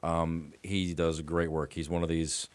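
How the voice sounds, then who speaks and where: Very deep-voiced middle-aged male guest. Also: measured pace, no echo. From United States. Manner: relaxed, rambling storytelling with fillers. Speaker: attorney and guest. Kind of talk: casual interview chat.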